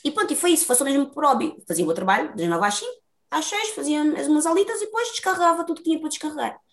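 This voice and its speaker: A woman's voice: high voice, rapid-fire. Chatty Lisbon woman telling her story. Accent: Lisbon Portuguese. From Portugal, Lisbon. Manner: lively, talkative, laughs often. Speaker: interview guest, dancer. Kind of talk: casual storytelling interview.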